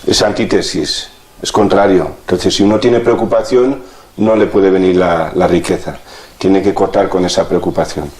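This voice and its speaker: A fairly low-voiced man talks through a healing session in a calm, matter-of-fact way. His voice carries an echo from the room around him. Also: moderate pace. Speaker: energy healing practitioner. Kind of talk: explaining while demonstrating a treatment.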